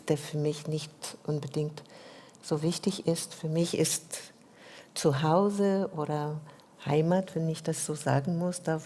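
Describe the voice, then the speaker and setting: Low-voiced French-native woman. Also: warm tone, slight background noise. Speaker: panel guest. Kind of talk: panel discussion.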